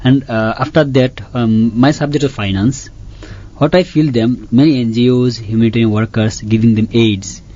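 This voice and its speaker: A man's voice: medium pitch, fairly even intonation, moderate pace, warm tone. A man from Bangladesh, a PhD student guest on a panel, speaking earnestly in slightly broken phrasing. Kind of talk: panel discussion, casual. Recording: dull lo-fi sound with faint hiss.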